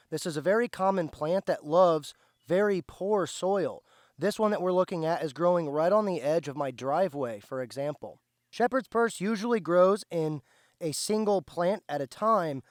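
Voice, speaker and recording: A slightly hoarse man, patiently explaining step by step as he teaches plant identification outdoors. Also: high-pitched, dry recording, clean studio sound. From central Indiana, USA. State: slightly hoarse, recovering from lost voice. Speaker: host, foraging teacher. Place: outdoors by a driveway.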